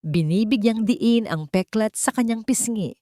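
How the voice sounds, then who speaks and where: A woman's voice: a little high, unhurried, mellow, no room sound. A woman reading a scripted dramatic story as an audiobook narrator.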